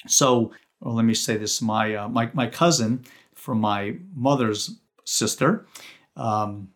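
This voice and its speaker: A man whose first language is Ukrainian, hosting a casual podcast chat in an earnest, halting way with frequent restarts. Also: medium pitch. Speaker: podcast host. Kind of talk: casual conversational interview.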